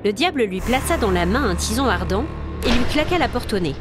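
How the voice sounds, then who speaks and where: A woman's voice: fairly high pitch, expressive, a little fast, over music. A woman storyteller reading scripted narration of a spooky folk tale.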